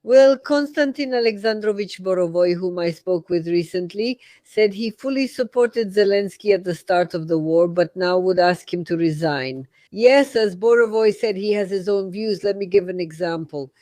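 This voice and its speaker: A man's voice: high voice, normal speed, hardly any pauses, darkish tone, no room sound. A middle-aged man, a native Russian speaker, hosting a radio talk show and telling a story by acting out both sides of a conversation. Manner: retells scenes acting out both sides of the dialogue. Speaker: radio host.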